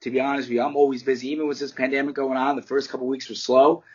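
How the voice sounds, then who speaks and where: A man's voice: mid-range voice, level tone, rapid-fire, husky, muffled recording. A man, a fighter and martial arts teacher giving candid, rambling interview answers and swearing casually. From United States.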